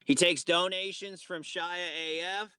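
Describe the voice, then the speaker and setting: A man's voice: high-pitched, fairly even intonation, normal speed, sharp tone, smooth, dry recording. A man calling into a group livestream, talking in crude, teasing banter with a lot of swearing.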